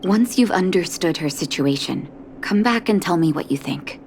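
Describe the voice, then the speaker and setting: A woman playing a principled, caring doctor in a video game, measured and serious with weary pauses. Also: on the low side, lively intonation, a little hiss or hum, close to an everyday voice. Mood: weary, earnest.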